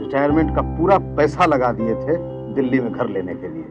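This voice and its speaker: A man's voice: medium pitch, sing-song, a little fast, muffled recording, over music, close to an everyday voice. An actor playing a sarcastic, complaining retired father in a scripted ad. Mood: grumbling. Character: grumbling retired older father. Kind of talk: scripted ad acting.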